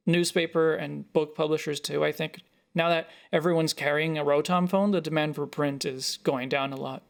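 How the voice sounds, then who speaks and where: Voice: mid-range voice, creaky, natural voice. A narrator voicing a defensive, frustrated adult photographer arguing with a parent in a short story. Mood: hesitant, flustered. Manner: halting, then heated.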